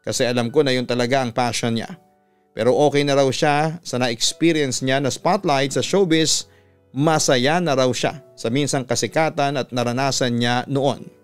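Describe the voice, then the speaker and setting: A man with a Filipino accent, a radio announcer reading a scripted story in a measured, earnest way. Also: mid-range voice, steady tone, clear, resonant tone. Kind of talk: scripted narration of a listener's letter. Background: music under the voice.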